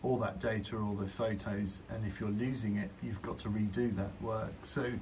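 Low-voiced man, noisy outdoor recording. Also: level tone, normal speed, a little mellow. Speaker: drone reviewer. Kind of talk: casual demo commentary. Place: outdoors on a garden patio in rain. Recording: outdoor recording with clear background noise.

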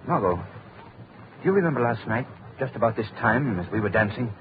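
An actor playing an earnest, urbane gentleman sleuth in an old-time radio drama. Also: mid-range voice, animated, moderate pace, background noise, close to an everyday voice. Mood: urgent, troubled. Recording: tinny old radio recording, loud music.

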